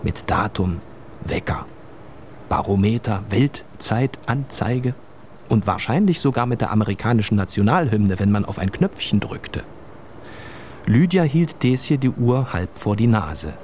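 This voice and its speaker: A man's voice: on the low side, expressive, measured pace, darkish tone, a little hiss or hum. A man narrating a scripted children's radio play as a storyteller.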